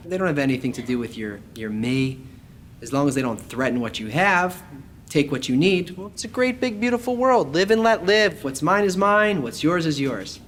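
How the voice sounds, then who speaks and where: A man's voice: a little high, a bit bright, a little hiss or hum. A man giving an explanatory spiritual lesson in a conversational but scripted way, speaking directly to the listener and posing rhetorical questions. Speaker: lecturer. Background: background music.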